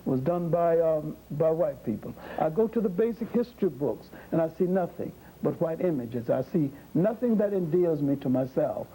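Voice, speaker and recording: A man telling a personal story as an interview guest. His voice is husky and very dark yet sits fairly high, and he speaks earnestly and reflectively, with a few fillers. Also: lively intonation, moderate pace, hardly any pauses, poor audio.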